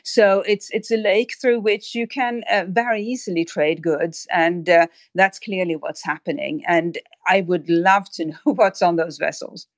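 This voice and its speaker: A woman's voice: lowish voice, steady tone, unhurried, few pauses, no echo, studio quality. A woman speaking as an expert guest in an interview, analysing at length and often repeating words as she thinks aloud. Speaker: expert guest on maritime affairs.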